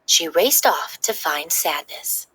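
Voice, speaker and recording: A woman narrating a children's story in an expressive, dramatic read-aloud style. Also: low voice, slow, breathy edge, rough recording.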